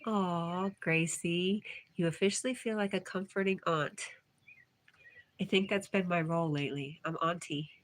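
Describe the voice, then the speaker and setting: A woman's voice: fairly low pitch, fairly even intonation, moderate pace. A woman hosting a casual livestream, reading viewer comments aloud and replying in a chatty way. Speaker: livestream host.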